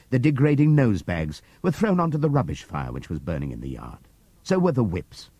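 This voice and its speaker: An older man reading a classic novel aloud as an audiobook narrator, measured and expressive. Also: no echo. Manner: measured, expressive storytelling with wide pitch swings. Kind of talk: scripted literary narration. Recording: dry close studio read from cassette tape.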